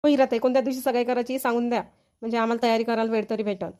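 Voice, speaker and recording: A woman's voice: on the high side, flat delivery, rapid-fire, darkish tone, natural voice. A woman voicing an eager, practical aunt in a cartoon family comedy, chattering away with a Varhadi Marathi accent.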